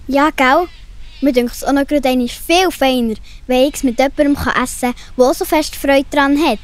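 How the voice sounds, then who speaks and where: A woman's voice: high voice, steady tone, fairly quick, close to an everyday voice. A woman with a Swiss German accent playing a friendly, earnest young animal girl in a children's audio play. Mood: friendly, eager.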